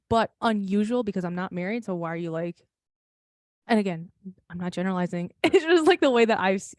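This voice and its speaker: A woman's voice: on the low side, no room sound. Rambling young woman, dry sound. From United States. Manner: rambling, laughs often, swears, lots of "like". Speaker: podcast co-host. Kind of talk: casual chat.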